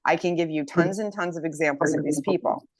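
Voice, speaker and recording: Woman, 50s, low voice, dry close sound; casual, eager testimonial. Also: fast, no echo. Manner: enthusiastic, reads quotes aloud. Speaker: health program member. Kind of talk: casual group chat testimonial.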